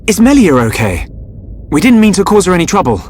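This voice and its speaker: An actor playing a polite, earnest young hero in a video game cutscene. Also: male voice, sits high, very animated, a little fast, darkish tone, slight background noise. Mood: urgent, concerned. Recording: music behind the voice.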